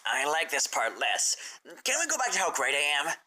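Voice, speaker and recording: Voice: medium pitch, big pitch swings, moderate pace, bright, forceful tone, a little hiss or hum. A reader voicing a cheeky, insecure young male assistant creature in a fan fiction story. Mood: cheeky, wary. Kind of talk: fan fiction character reading.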